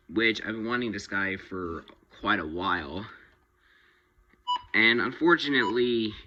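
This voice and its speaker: A man with a lowish, very bright and forceful voice talks through a casual product review. He goes slowly and haltingly, often pausing partway through a thought. The sound is somewhat dull and lo-fi. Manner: halting, rambling delivery with pauses mid-sentence. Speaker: hobbyist video reviewer.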